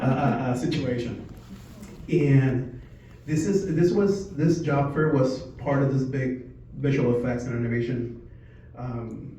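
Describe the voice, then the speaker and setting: A man in his forties from Puerto Rico gives an informal presentation, telling a personal story in a conversational, halting way. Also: mid-range voice, mellow, distant mic. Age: 40s. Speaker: speaker at a company sales meeting. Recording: echoey room from afar, muffled, faint noise.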